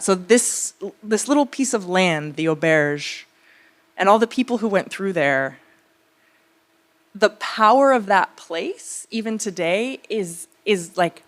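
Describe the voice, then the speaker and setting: A woman speaking conversationally as an artist at a public talk, telling stories in a reflective, searching way, pausing and circling back. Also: medium pitch. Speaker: theater artist speaking on a panel.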